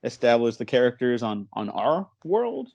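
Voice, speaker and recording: A man hosts a movie podcast in a close, dry recording with an ordinary mid-range pitch. He is chatty and enthusiastic, rambling through his thoughts in an informal way. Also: sing-song, moderate pace, darkish tone, no room sound. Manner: chatty, rambling, enthusiastic, chuckles while talking. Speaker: podcast host. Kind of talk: casual movie podcast chat.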